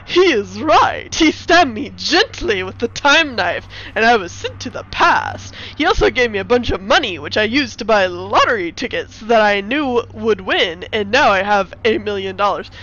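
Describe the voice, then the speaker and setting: A woman's voice: mid-range voice, lively intonation, normal speed, hardly any pauses, fairly bright tone, highs cut off, pitched below the performer's own voice. A woman playing a grateful, newly rich mother, declaring things plainly in a comic screenplay reading. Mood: pleased.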